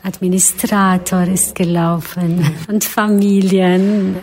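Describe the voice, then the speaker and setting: A woman's voice: mid-range voice, little pitch movement, slow pace, darkish tone. A woman who organizes an organ festival, answering a radio interviewer in an earnest, grateful way.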